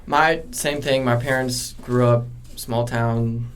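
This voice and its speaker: Halting young man as student guest. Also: mid-range voice, fairly even intonation. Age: young adult. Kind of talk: casual interview answers.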